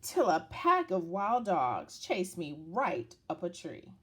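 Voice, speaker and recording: A woman reads a picture book aloud for children. Her delivery is slow and deliberate, with lively swings of pitch that dramatize the tale for young listeners. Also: slight background noise. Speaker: children's story reader.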